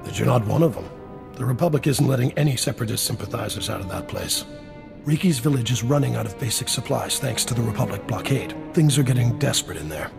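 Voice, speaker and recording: A man voicing a suave, wry, shady dealer down on his luck in a video game, with a theatrical, smooth-talking manner. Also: mid-range voice, expressive, fast talker. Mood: urgent, businesslike. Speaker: game character actor. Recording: long reverb, music behind. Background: game music.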